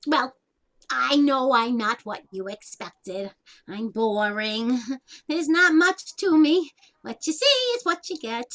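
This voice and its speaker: A woman's voice: high-pitched, a bit bright, heavily acted. An actress playing a chatty, self-deprecating older Italian-American woman in a stage comedy, with a folksy, wisecracking way of talking. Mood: wistful, modest.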